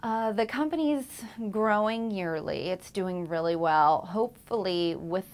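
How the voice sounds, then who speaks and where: A woman's voice: medium pitch, lively intonation, unhurried, slight background noise. A woman in her twenties answering interview questions in an office, earnest with some fillers. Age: 20s. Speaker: company employee.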